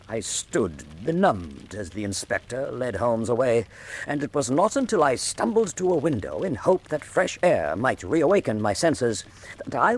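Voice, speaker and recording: A grave, shaken doctor narrating a radio mystery. His mid-pitched voice is husky, with very expressive, swooping intonation. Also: full character voice. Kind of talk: dramatic first-person scripted narration.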